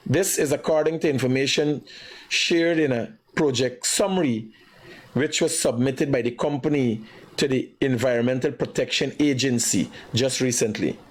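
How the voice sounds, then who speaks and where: A man with a Guyanese accent hosting a live commentary show, speaking emphatically and repeating himself in an accusatory way. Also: medium pitch. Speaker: live morning show host.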